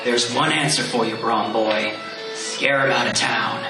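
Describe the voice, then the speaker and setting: An actor playing a sly villager who goads others and spins ghost stories, in an old radio play. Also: male voice, mid-range voice, steady tone, measured pace, close to an everyday voice. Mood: scheming. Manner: sly, goading. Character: sly scheming male villager. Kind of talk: 1940s radio acting. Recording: roomy distant old radio recording with music.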